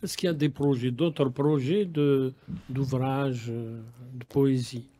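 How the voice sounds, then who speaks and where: A man's voice: medium pitch, steady tone, takes it slowly, mellow. A man hosting a radio interview, asking long, rambling questions with many halts and restarts. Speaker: radio host.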